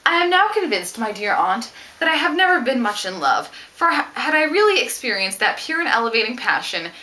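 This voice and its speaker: Expressive young Regency gentlewoman, read by a woman. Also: fairly high pitch, a little fast, voice pushed up. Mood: assured. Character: witty, self-assured young Regency gentlewoman. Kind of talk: reading novel quotations aloud.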